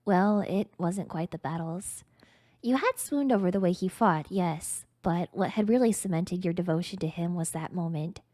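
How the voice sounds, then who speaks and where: A woman's voice: on the low side, normal speed, mellow, dry recording, studio quality. A woman streamer reading a story aloud in a theatrical, mock-grandiose, dramatic narrating style.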